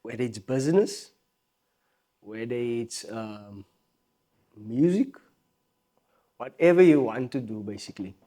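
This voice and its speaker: A man's voice: medium pitch, unhurried, pauses often. A South African man, a guest musician, talking in a casual podcast conversation, emphatic and fond of repeating phrases. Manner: animated, emphatic, repeats phrases, laughs often.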